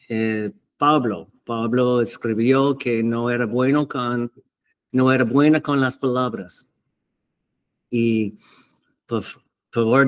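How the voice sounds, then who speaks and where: Very slow, halting man teaching the Bible. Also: mid-range voice. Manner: hesitant, halting, many fillers and restarts. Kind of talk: unscripted Bible teaching to camera.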